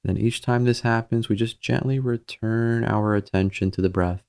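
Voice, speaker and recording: A man leading a guided meditation in a gentle, soothing, measured manner with pauses between phrases. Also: mid-range voice, steady tone, creaky, smooth.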